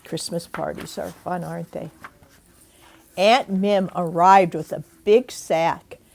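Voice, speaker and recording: An older woman reading a storybook aloud in an expressive, deliberate way, pausing for asides and questions to young listeners. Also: lowish voice, vocal fry, a little hiss or hum. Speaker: grandmother reading a picture book aloud. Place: indoors at home.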